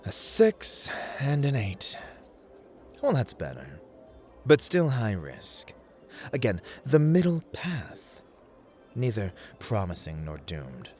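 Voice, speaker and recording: A man playing a playful, taunting god of luck who deals cards, in a theatrical ASMR roleplay with deliberate speech and hushed murmurs. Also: fairly low pitch, huge pitch swings, soft background music, exaggerated voice. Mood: sly, teasing. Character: playful, taunting male god of luck, smooth-talking card dealer.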